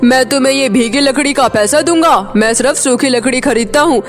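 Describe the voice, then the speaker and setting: A woman's voice: high-pitched, a little fast, close to an everyday voice. A woman voicing a brusque, heartless, scornful shopkeeper in a cartoon story. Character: gruff, heartless shopkeeper dismissing the poor. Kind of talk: Hindi cartoon moral story.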